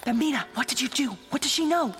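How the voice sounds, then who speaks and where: Protective young friend, higher voice. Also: female voice, fast talker, a bit bright, faint music behind. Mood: worried. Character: caring, protective young Sicilian woman, the narrator's close friend. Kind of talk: cinematic radio play acting.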